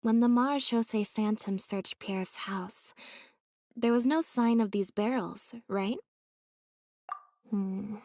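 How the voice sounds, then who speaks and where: A woman's voice: medium pitch, slow pace, pauses often, darkish tone, no room sound. An actress playing a reserved, observant young female investigator in a video game, speaking in an understated, thoughtful, matter-of-fact way. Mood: pensive. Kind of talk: scripted video game voice acting.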